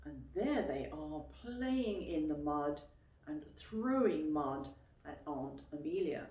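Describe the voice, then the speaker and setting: A woman reading a picture book aloud to young children as a teacher would, expressively and clearly phrased, pausing to explain and ask questions. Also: low voice, expressive, dark tone, highs cut off. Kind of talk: children's storytime read-aloud with questions to listeners. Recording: roomy, echoey, distant microphone.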